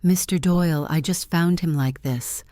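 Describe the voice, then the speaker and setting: An actress playing a world-weary, guarded nightclub singer in a noir radio drama, wry and cool. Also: female voice, on the low side, normal speed, dark tone, dry recording, studio quality, lightly acted. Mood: defiant. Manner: wry, cool, hard-edged.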